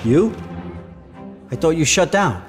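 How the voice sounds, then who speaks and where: A man's voice: fairly high pitch, big pitch swings, takes it slowly, faint music behind. A man acting a bitter, hostile failing shop owner in a scripted morality drama, sneering and accusatory. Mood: defensive, accusing.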